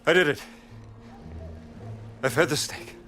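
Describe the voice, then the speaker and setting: An actor playing a quick-witted middle-aged American archaeologist in a cinematic adventure game. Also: male voice, a little high, pushed and bright, faint music behind. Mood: matter-of-fact. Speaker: game protagonist.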